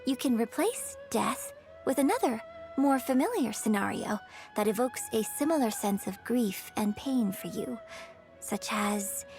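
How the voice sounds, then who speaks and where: A patient young lady mentor in a game, kinda high voice, measured n articulate, soft music under it. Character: poised young woman opera performer, patient acting mentor. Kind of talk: video game dialogue voice acting.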